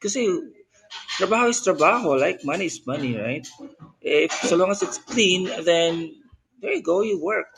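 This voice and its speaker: A man in his thirties with a Filipino accent, a guest telling his story in a casual interview, chatty and animated with lots of fillers. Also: high voice, moderate pace, a crowd in the background, rough recording. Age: 30s. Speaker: guest, business owner. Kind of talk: casual interview talk mixing English and Tagalog.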